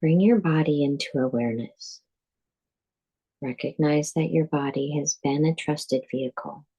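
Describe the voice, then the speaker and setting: A woman leads a guided meditation in a soft-edged, husky voice with a dark, relaxed tone. Her delivery is very slow, calm and deliberate. She gives instructions in short, measured phrases, leaving space between them. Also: deep voice, poor audio. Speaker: yoga nidra teacher.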